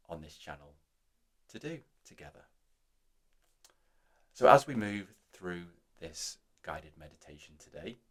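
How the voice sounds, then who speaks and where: Slow, low-voiced man guiding meditation. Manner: soothing, reassuring, unhurried. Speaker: guided meditation host. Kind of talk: gentle guided meditation narration.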